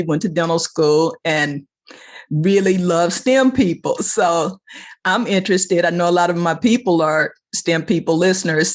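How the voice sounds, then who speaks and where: Chatty, encouraging woman hosting an interview. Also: fairly low pitch. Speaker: show host. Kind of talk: conversational interview.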